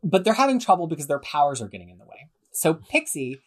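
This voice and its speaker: A man's voice: on the high side, big pitch swings, fast, husky. A man hosting a podcast discussion, steering the talk and handing over to the panel. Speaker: podcast host.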